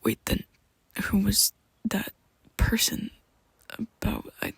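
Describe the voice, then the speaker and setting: A woman's voice: medium pitch, big pitch swings, vocal fry, breathy edge, dry recording, heavily acted. A woman whispering as a shy vampire boyfriend. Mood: tender. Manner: soft whispering, hesitant and halting. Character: shy young male vampire best friend, tender. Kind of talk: ASMR roleplay.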